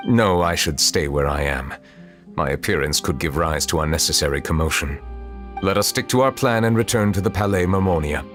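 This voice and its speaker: An actor playing a stern, formal chief justice in a video game, speaking in a measured, grave manner. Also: male voice, deep voice, over music, lightly acted. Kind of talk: game voice acting.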